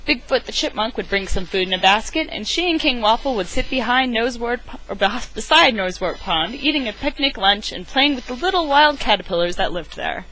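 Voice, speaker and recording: A woman reads a children's picture book aloud in a dramatic, emphatic way. Her voice is on the high side and bright, with very animated intonation. She sounds like an enthusiastic amateur storyteller. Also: fairly quick, hardly any pauses, slight background noise, low-quality recording. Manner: theatrical, emphatic delivery. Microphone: webcam microphone.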